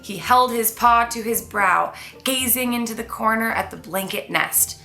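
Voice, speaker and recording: A woman with an enthusiastic, expressive delivery, presenting and reading a children's book aloud. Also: on the high side, unhurried, vocal fry. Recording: echoey room, faint music behind.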